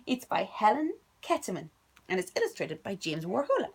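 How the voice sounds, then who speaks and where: A woman's voice: mid-range voice, huge pitch swings, a little fast, breathy edge. A woman reading a picture book aloud to her little son at home, playful and sing-song. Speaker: parent reading to a child.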